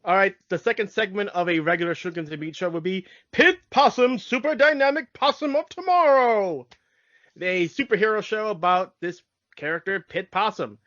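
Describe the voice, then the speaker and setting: A man's voice: high-pitched, moderate pace, fairly bright tone. A man co-hosting a podcast, explaining cartoons in casual chat, with dull lo-fi sound. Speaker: podcast co-host. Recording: dull lo-fi audio.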